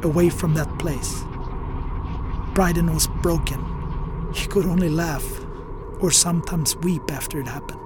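An actor playing a haunted Scandinavian sailor, narrating gravely and wearily in a radio drama, not speaking in his native language. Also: fairly high pitch, fairly even intonation, measured pace, noisy background, lightly acted. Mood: shaken. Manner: grave, weary, confessional. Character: haunted Scandinavian sailor recounting a horror at sea.

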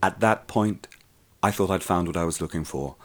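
An actor narrating as a wealthy, jaded male killer, in a brooding, measured way. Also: sits low. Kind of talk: narration in a radio drama.

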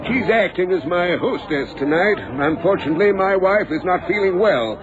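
High-voiced, effusive senator. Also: male voice, expressive, moderate pace. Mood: genial, apologetic. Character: effusive, sociable male senator hosting a party. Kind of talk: 1970s radio drama acting. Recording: dull old radio recording, party crowd.

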